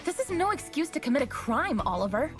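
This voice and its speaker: Stern, higher-voiced game heroine. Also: female voice, sing-song, a little fast. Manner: firm, matter-of-fact, scolding. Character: stern law-enforcing young woman, confessed gaming addict. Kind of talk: comic video game cutscene acting. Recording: game music behind the voice. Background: game music.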